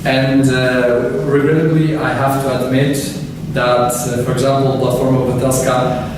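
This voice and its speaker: A man speaking in a panel debate, halting and repeating words as he searches for phrasing. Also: medium pitch, little pitch movement. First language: Polish. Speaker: party candidate in a debate. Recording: echoey hall, mic far off, background noise.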